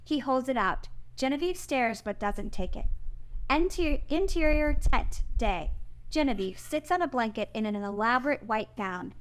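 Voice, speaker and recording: A woman with a high-pitched voice narrates a screenplay. She reads stage directions and scene headings as scripted narration. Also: animated, moderate pace. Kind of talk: scripted narration at a live screenplay table read.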